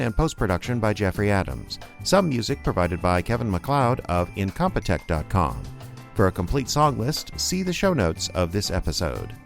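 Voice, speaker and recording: A man's voice: medium pitch, gravelly. A man working as announcer, reading scripted sponsor lines and closing credits for a radio show.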